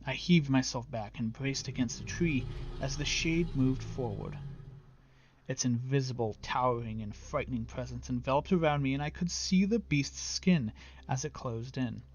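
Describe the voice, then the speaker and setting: A man reading a scripted story as a narrator, with a measured, literary and theatrical delivery. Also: mid-range voice, a little hiss or hum. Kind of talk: dramatic scripted audiobook narration.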